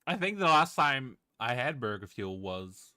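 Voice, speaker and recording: A man's voice: mid-range voice, sing-song, measured pace, bright, forceful tone, clean tone, no room sound, studio quality. A man chatting casually and giggly while live-streaming a game, reacting as he plays.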